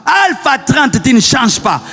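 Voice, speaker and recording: High-pitched Congolese preacher in a reverberant hall. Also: male voice, sing-song, a little slow, bright, forceful tone. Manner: exhorting, repetitive, rhetorical questions to the congregation. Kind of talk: fiery sermon. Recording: long hall reverb, music underneath.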